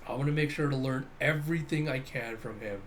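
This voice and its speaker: A man's voice: mid-range voice, brisk, slight background noise, natural voice. A man voicing a dutiful young female soldier, formal and privately unimpressed, with clipped military address. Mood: doubtful.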